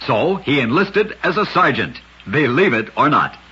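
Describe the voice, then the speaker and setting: A raspy male radio narrator with a very animated, swooping delivery and a bright edge. He tells short scripted tales with dramatic emphasis, like a host on a vintage broadcast. The sound is dull and lo-fi. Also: mid-range voice, moderate pace.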